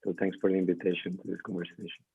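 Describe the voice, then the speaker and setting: A man's voice: low-pitched, flat delivery, racing, vocal fry, husky. A man introducing himself briefly on a video call in an understated way. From Mexico. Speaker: panelist. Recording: tinny, phone-like call audio.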